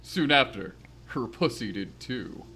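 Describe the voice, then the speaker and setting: A man's voice: medium pitch, expressive, a little slow, noisy background. A man streaming a game, giving casual, joking commentary among friends.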